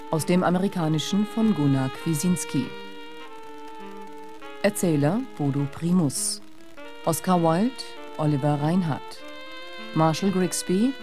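An announcer reading radio drama credits in a formal, scripted manner. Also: mid-range voice. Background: music.

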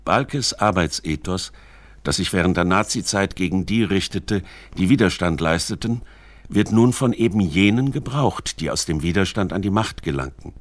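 A man narrating a scripted radio documentary in a measured, formal, articulate way. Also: sits low, lively intonation.

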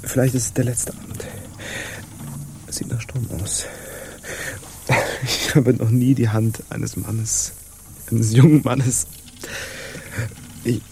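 Against clear background noise, a man plays a feverish pirate crewman in a radio drama. He is medium-pitched, an odd, yearning fellow who talks in halting, formal, confiding phrases. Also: close to an everyday voice. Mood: pleading, troubled. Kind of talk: theatrical radio drama acting.

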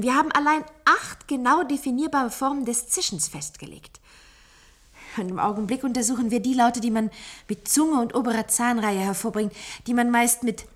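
An idealistic woman researcher speaks in a radio play. Her fairly high voice is earnest and explanatory, like someone absorbed in her work. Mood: enthusiastic.

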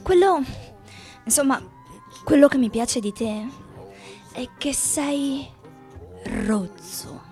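A frank, affectionate woman, played by an actress addresses her lover in a radio play. Her voice sits a little high and she describes him deliberately, with intimate fondness. Soft music plays faintly underneath. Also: lively intonation. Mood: fond, frank. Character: frank woman fondly cataloguing her slovenly lover's squalor. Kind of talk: radio theatre monologue.

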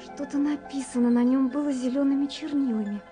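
A woman's voice: high-pitched, steady tone, fairly quick, warm tone, lightly acted. An actress playing a dreamy, wondering young woman reading her letters in a lyrical, wistful radio play. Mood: tender wonder. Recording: 1970s radio production with music. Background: music.

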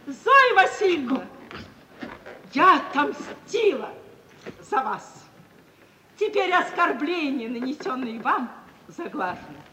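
An actress playing a proud, sharp-tongued society woman bent on revenge, declaiming theatrically in an old radio play. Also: sits high, big pitch swings, takes it slowly, long pauses, heavily acted. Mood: triumphant, stern. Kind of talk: 1950s Soviet radio play acting. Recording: reverberant, hissy 1950s radio recording.

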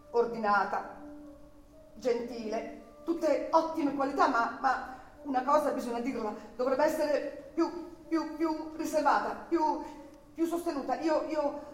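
An actress playing an anxious, deferential, gossiping boarding-house landlady, hesitant and solicitous, in Italian radio theatre style. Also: high-pitched. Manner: hesitant, solicitous, stammering repetitions. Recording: roomy, distant from mic, music behind.

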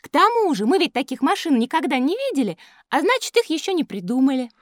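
A woman's voice: high voice, sing-song, fast talker, dry recording, studio quality. A woman playing a polite, curious little girl pupil in a children's educational audio play. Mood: wondering. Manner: polite, inquisitive, bright-eyed wonder.

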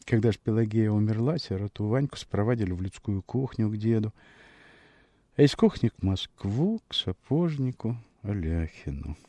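A man narrating a classic story for radio, with expressive, measured, dramatic storytelling. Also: fairly low pitch, no echo.

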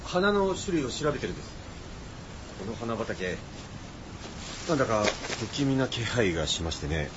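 A man's voice: medium pitch, sing-song, normal speed, long pauses, close to an everyday voice. An actor playing a courteous, pedantic scholarly man in a radio drama, explaining things in polite, old-fashioned phrases. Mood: puzzled. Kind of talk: Japanese radio drama acting. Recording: dull audio with faint background noise.

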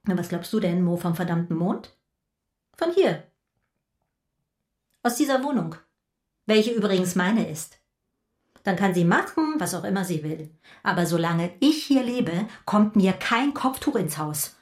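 An exasperated mother in a radio drama. She is a sharp-tongued, middle-aged woman who scolds with heavy sarcasm. The room around her echoes clearly. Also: on the low side, lively intonation, measured pace, long pauses. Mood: stern. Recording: roomy, echoing indoor sound.

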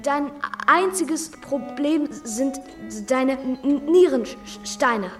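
Insolent high-voiced schoolboy. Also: female voice, drawn-out words, distant mic, soft background music. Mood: cheeky, unrepentant. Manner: stammering, cheeky, defiant. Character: precocious, insolent, clever schoolboy with a stammer. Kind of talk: radio drama acting.

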